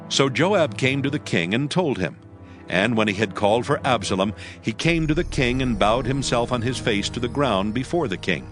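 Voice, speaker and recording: A man narrating scripture from a script in a solemn, measured, formal storytelling style. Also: mid-range voice, animated, fairly bright tone. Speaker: Bible narrator. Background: music.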